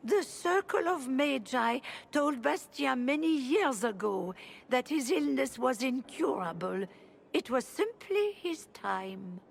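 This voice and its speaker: An actress playing a stately grand cleric in a game, solemn and formal. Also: female voice, sits high, animated, vocal fry, a little hiss or hum, exaggerated voice. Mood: solemn, dignified. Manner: formal, measured. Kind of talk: dramatic game acting.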